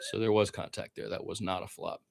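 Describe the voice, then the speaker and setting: A man's voice: lowish voice, steady tone, a little fast, creaky. A man giving informal radio-style basketball play-by-play, with blunt, conversational and opinionated asides. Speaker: play-by-play sports commentator.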